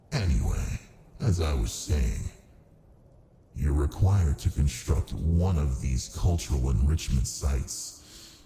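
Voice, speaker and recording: A man playing a massive ancient male demon in an ASMR roleplay, smug and amused, with a smooth, politely menacing, domineering way of talking. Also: a bass voice, dark tone, a little hiss or hum, full character voice. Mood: matter-of-fact. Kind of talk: ASMR roleplay, close and unhurried.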